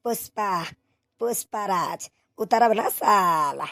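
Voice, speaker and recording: A woman voicing a stubborn, swaggering male rebel in a cartoon film parody, with drawn-out, defiant catchphrase delivery. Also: medium pitch, animated, a bit bright, no echo, clean studio sound.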